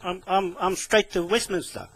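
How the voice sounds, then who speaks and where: A man with a New Zealand accent talking on the telephone in a halting, repetitive, rambling way. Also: high voice, fairly bright tone, husky. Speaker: caller with a complaint. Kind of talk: phone conversation.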